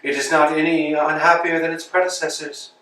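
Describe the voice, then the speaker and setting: An actor playing a pompous, domineering landowner in a staged play reading, swinging between grand bluster and hushed asides. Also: male voice, a little high, level tone, fairly quick, far from the mic. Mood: philosophizing. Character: pompous domineering landowner, middle-aged man. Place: large room, performed on its feet. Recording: echoey room, from afar.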